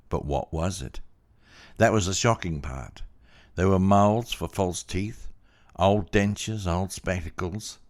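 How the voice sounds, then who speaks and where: A man narrating a first-person story in a measured, plain-spoken way. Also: deep voice, a little mellow. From Australia.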